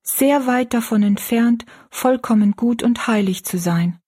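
A woman voicing an earnest, pious young girl in a storybook, gently and politely explaining things. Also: fairly high pitch, measured pace, husky, pitched above the performer's own voice.

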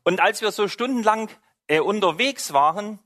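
Middle-aged preacher guy w/ saxon accent, slightly high voice, telling a story lively. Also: moderate pace. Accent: Saxon German. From Saxony, Germany. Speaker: missionary preacher. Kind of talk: sermon.

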